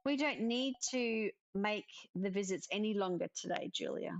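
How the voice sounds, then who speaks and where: A woman's voice: medium pitch, moderate pace, dull audio. A woman reading visual novel dialogue aloud expressively, with casual commentary of her own. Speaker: let's-player narrator.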